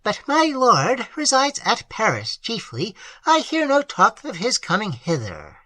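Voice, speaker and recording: A man's voice: sits high, huge pitch swings, measured pace, bright, forceful tone, no echo, voice pushed up, exaggerated voice. A reader voicing an elderly, widowed, superstitious village man who speaks earnestly in old-fashioned phrasing. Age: older. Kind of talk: audiobook character reading.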